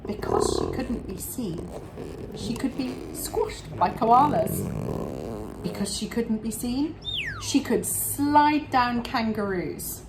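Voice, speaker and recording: Animated woman reading a children's story slowly. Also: fairly high pitch, creaky, heavy background noise. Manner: animated, dramatic pauses and drawn-out words. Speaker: storybook reader for an English club. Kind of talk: expressive read-aloud children's storytelling.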